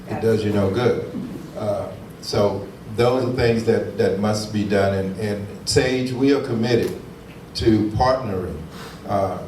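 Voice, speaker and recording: Brief: low-pitched man, emphatic nonprofit speaker, echoey hall from a distance. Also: darkish tone, a little hiss or hum. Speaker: nonprofit representative. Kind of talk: town hall response. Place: town hall meeting room. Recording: echoey room from far off.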